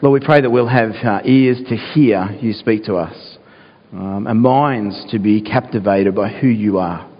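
A man with a croaky voice, earnest and pausing with fillers, praying and reading scripture as a pastor in a sermon. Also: on the low side. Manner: earnest, with frequent fillers and restarts. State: croaky, clearing his throat. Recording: dull sound, long church reverb.

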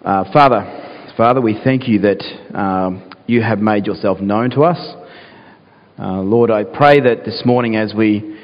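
A man with a croaky voice, earnest and pausing with fillers, praying and reading scripture as a pastor in a sermon. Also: lowish voice. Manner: earnest, with frequent fillers and restarts. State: croaky, clearing his throat. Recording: dull sound, long church reverb.

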